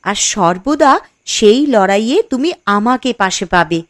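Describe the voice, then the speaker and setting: A woman's voice: medium pitch, lively intonation, normal speed, fairly bright tone, no echo, studio quality, lightly acted. A woman voicing a steadfast, supportive young wife in a cartoon story, with an earnest, reassuring manner. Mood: devoted. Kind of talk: Bengali cartoon story dialogue.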